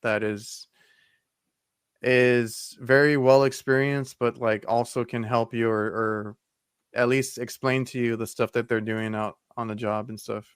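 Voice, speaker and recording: A man in his thirties hosts an easygoing chat. He asks questions with lots of fillers. His voice is smooth, with a fairly flat melody, and the sound is close and dry. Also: mid-range voice, a little slow, no echo. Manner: friendly, full of fillers and restarts. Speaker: podcast host, HVAC technician. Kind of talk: casual interview talk.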